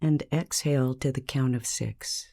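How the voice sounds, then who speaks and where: A woman narrating a scripted guided meditation in a calm, measured, soothing manner with long pauses. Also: a bass voice, vocal fry.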